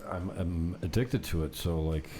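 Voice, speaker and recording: Male talk host, very low voice, loose rambling delivery, unfiltered, casual podcast, background noise. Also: moderate pace, warm tone. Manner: rambling, unfiltered, mild swearing. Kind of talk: unscripted casual podcast chat with anecdotes. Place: apartment set up for recording.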